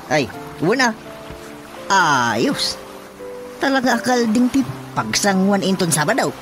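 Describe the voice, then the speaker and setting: A man's voice: high voice, very animated, takes it slowly, full character voice. An agreeable village watchman with an Ilocano accent. He chats along in a comic radio drama style, with some music behind his voice. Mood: agreeable, chatty. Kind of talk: Filipino radio drama comedy acting.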